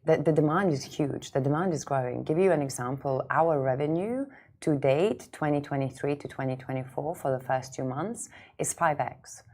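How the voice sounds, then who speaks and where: A woman's voice: low-pitched, normal speed, darkish tone. A woman in her thirties from Lithuania, a founder speaking conversationally as an interview guest, with emphatic repetition. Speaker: startup founder, podcast guest.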